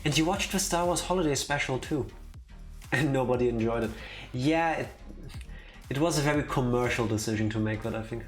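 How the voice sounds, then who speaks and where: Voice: medium pitch, a little fast. A streamer in casual conversation before a game stream, with an opinionated, playfully teasing way of talking. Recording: music playing behind the voice. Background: music.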